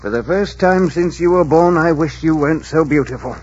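A man's voice: fairly high pitch, normal speed, slightly rough. An actor playing a worried, talkative old innkeeper and father in a 1940s radio drama, fond and plain-spoken in manner. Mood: worried, pleading. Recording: muffled, faintly noisy 1940s radio recording.

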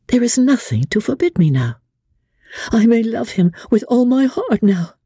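A woman voicing a gentle, timid young widow who speaks in trembling, halting words. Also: fairly high pitch, very animated, slightly rough, dry recording, clean studio sound, pitched above the performer's own voice. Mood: yearning.

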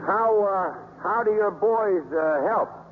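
Blustery, high-pitched baseball manager. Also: male voice, slow pace. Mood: curious, pressing. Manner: blustery, hesitant, colloquial. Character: gruff, hot-tempered baseball manager struggling to behave. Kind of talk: 1950s radio acting. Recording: tinny, noisy 1950s radio recording.

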